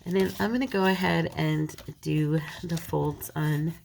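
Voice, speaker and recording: A woman's voice: deep voice, fairly even intonation, a little slow, creaky, a little mellow, slight background noise. A woman with a slight cold, chatting casually and a little self-deprecatingly as she folds paper in a crafting tutorial. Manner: chatty, self-deprecating, talks while working.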